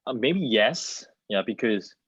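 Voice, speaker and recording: A man's voice: medium pitch, lively intonation, slow pace, fairly bright tone, husky, no room sound. A young man from South Korea with a Korean accent, answering hesitantly and searching for words on a video call. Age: young adult. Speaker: student panelist, aspiring actor. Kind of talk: unscripted discussion answer. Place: plain room indoors, video call. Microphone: wireless earbuds on video call. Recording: dull, lo-fi video call audio.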